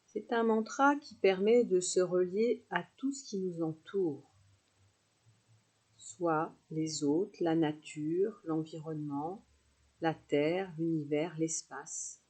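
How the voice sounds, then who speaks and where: Slow, soft-toned woman guiding relaxation. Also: lowish voice, breathy edge. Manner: soothing, unhurried instructions with pauses. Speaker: yoga nidra teacher. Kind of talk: guided relaxation meditation.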